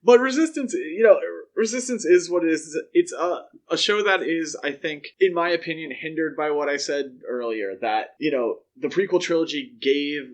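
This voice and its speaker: A man hosting a podcast in casual, opinionated discussion, talking in a conversational way with measured, hedged opinions. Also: a little high. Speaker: podcast host.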